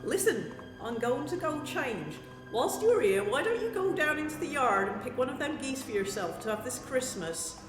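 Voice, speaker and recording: A woman as a very lively, scatty sister. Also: high-pitched, moderate pace, few pauses. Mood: breezy. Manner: animated, scatterbrained, chattering. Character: scatty, dim, chirpy goose-keeping sister. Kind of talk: comic radio play acting. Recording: echoey long reverb, music underneath.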